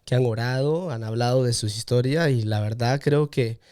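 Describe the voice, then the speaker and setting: A man's voice: mid-range voice, moderate pace, a little mellow, clean tone. Guy hosting a podcast, mid-range voice, chatting and asking his guest questions. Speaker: podcast host. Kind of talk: conversational interviewing.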